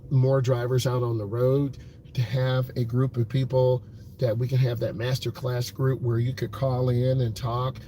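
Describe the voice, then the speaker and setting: A man's voice: medium pitch, mellow, slight background noise. Earnest man giving motivational driving advice. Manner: earnest, motivational, repeats points with fillers. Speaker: driving instructor. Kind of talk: vlog talking from a car. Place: in a car.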